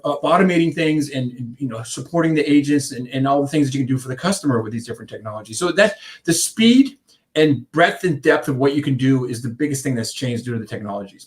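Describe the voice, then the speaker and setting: A man's voice: on the high side, fairly bright tone. A man in his fifties from Iceland, a contact centre consultant answering interview questions in a rambling way, full of restarts and fillers.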